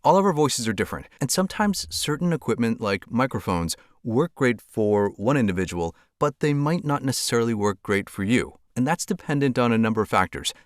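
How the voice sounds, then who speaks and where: A man with a deeper voice explains things calmly and clearly to camera, like a how-to lesson for creators. His voice is mid-pitched and sounds dry and close, with no room around it. He talks through a clip-on lapel mic. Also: dry recording. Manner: calm, clear explanatory delivery. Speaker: content creator giving tips. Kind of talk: instructional talk to camera. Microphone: clip-on lavalier mic.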